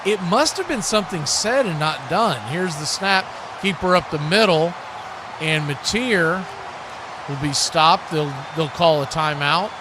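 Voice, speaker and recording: A man's voice: on the high side, lively intonation, unhurried, noisy background. A man giving live play-by-play commentary on a football game.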